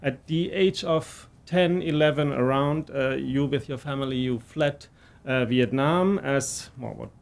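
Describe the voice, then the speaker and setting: A man's voice: medium pitch, a little slow, creaky. A middle-aged man hosting an interview, asking questions with many fillers and restarts. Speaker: interviewer, university president. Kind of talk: conversational interview.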